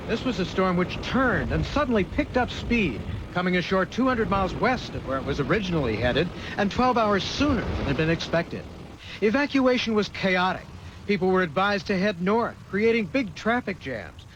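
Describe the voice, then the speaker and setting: Male news correspondent, high animated delivery, dull archived broadcast sound. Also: slight background noise. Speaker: television news reporter. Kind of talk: scripted broadcast news narration.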